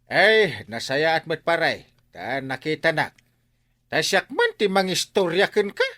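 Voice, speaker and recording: A man with an Ilocano accent playing a boastful, excitable village man in a radio comedy drama, exaggerated and comic, with vocal sound effects. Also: a little high, a little slow, dry recording, clean studio sound, heavily acted.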